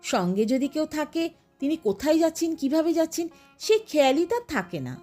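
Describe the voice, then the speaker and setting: A woman reading a literary story aloud as an expressive audiobook narrator. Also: sits high, fairly quick, soft background music.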